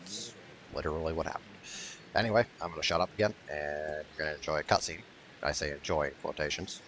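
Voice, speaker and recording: Male gaming streamer, deep register, casual rambling commentary, wry and salty, light background noise. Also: steady tone, quick pace.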